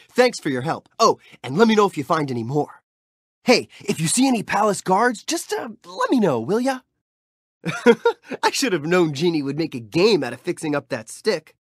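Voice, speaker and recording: A cheerful young street-thief hero from a video game, chatty and playful as he cheers the player on. His voice swoops widely up and down with bright youthful bounce. It is recorded dry and close on a studio mic with no room sound. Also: high voice, very animated, moderate pace, dry recording. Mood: upbeat. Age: young adult. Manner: friendly, playful, upbeat and encouraging. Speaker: game character.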